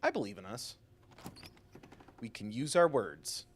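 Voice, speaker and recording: A man's voice: mid-range voice, sing-song, unhurried, a little hiss or hum. A man live-streaming a game, chatting in a jokey, playful and rambling way. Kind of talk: casual live-stream commentary.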